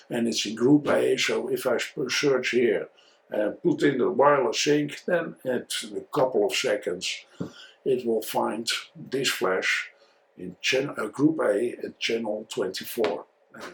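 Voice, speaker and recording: A man with a Dutch accent and a mid-range voice talks as an instructor in an informal review. As a native Dutch speaker, he explains things didactically. His delivery is halting, with words often repeated as he goes. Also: medium pitch, poor audio. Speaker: photography instructor and video reviewer. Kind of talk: informal product review and tutorial.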